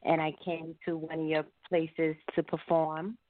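A woman's voice: low voice, flat delivery, unhurried, no echo. A woman being interviewed as a singer, answering conversationally and reflectively at some length over a phone call. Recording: narrow-band, phone-like line.

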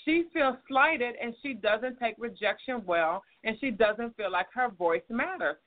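A woman's voice: fairly high pitch, expressive, measured pace, dry recording. A woman hosting an advice talk show, a counselor who speaks frankly and teaches through examples. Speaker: host and counselor. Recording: phone-like narrow audio.

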